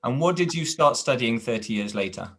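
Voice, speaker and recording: A man's voice: medium pitch, expressive, fairly quick, a bit bright. A man interviewing, asking short, direct questions.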